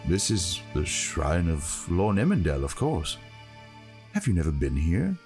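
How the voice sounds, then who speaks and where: A kind, fatherly monk, played by a male narrator, talks in a low, gentle and earnest voice. It is a fantasy audio story with music underneath. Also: wildly swooping pitch, unhurried, heavily acted. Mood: kindly.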